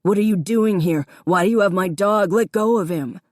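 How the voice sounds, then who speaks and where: Woman doing a frantic yelling guy, high strained voice, dry close sound. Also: normal speed, no echo, lightly acted. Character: distraught grown man, shouting and wailing.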